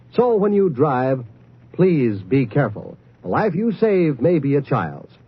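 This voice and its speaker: A male announcer reads an earnest, deliberate vintage radio message. His voice is fairly high and husky, with lively intonation. The recording is dull and old. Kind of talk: scripted 1950s public-service announcement.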